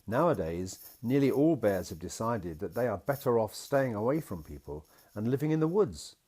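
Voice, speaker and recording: A man voicing a gentle, patient father in a picture-book story, reassuring his small daughter as he explains things. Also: lowish voice, very animated, normal speed, slightly rough, pitched above the performer's own voice. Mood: explaining.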